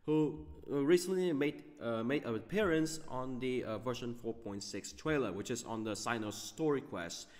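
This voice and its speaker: A man hosting a gaming video, giving off-the-cuff commentary in a halting way, often stumbling over his words. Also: mid-range voice, long reverb tail.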